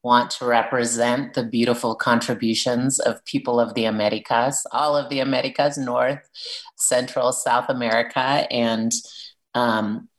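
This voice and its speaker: A woman's voice: extremely low pitch, level tone, normal speed, poor audio. A woman author talking earnestly about her children's book and reading from it aloud. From Peruvian family, living in Arizona.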